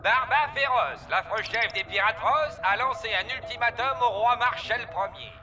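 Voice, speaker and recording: High-pitched space newsflash announcer. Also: male voice, moderate pace, noisy background. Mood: urgent. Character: urgent space news bulletin announcer. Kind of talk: dramatic newsflash.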